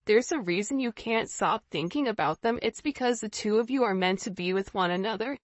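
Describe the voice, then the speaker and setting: A woman's voice: mid-range voice, animated, a little fast, dry recording, dull audio. A woman giving an informal spiritual tarot reading, talking directly to the viewer in a conversational way and often checking in with the listener. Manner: conversational, often checking in with "right?" and "you know". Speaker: tarot reader, host.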